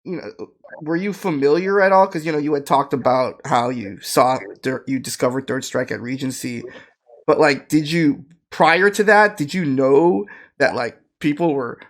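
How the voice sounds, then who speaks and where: A man's voice: a little high, normal speed. A man hosting a podcast, asking his guest questions in an easygoing way and saying "you know" often. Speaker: podcast host. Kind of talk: interviewing a guest.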